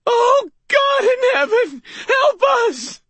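A frail elderly landlady with a quavering, tearful voice, pitched far above her reader's, as a man performs her. She sounds devout and fussing, her voice swooping up and down. The audiobook is recorded dry and close. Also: very animated, unhurried, dry recording, exaggerated voice. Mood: crying out. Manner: tearful, pleading.

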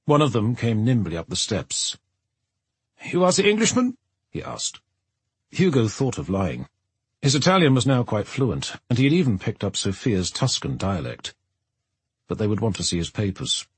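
A man reading scripted third-person narration from a novel as an expressive audiobook narrator. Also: lowish voice, moderate pace, husky, dry recording.

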